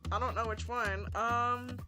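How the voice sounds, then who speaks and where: A woman streamer reading a visual novel aloud in an expressive way, sometimes stumbling over the words. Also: on the high side, a little slow, over music. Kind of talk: live read-aloud narration with casual asides.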